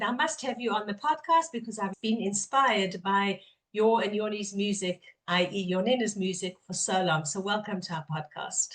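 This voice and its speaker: A woman's voice: medium pitch, rough recording. Chatty woman podcast host. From Israel-based, English-speaking. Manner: chatty, enthusiastic, restarts often. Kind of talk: warm interview chat.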